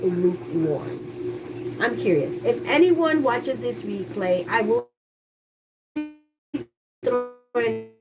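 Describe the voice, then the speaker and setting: A woman's voice: fairly high pitch, animated, slow pace, pauses often, far from the mic, low-quality recording. A woman livestreaming casually from her kitchen, chatty and candid as she talks to viewers, with a stuffy, congested voice. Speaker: livestreaming YouTuber. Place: kitchen at home, household noise.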